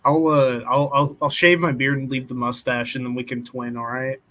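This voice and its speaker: A man's voice: medium pitch, flat delivery, fairly bright tone. A young man hosting a casual online group hangout, joking and often restarting his sentences. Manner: joking, halting, repeats words. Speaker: host.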